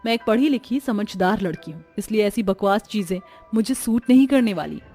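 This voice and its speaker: A woman's voice: medium pitch, moderate pace, dark tone, faint music behind, lightly acted. A woman voicing a haughty young modern city daughter-in-law in a Hindi cartoon, scornful and dropping English words. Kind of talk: Hindi cartoon story dialogue.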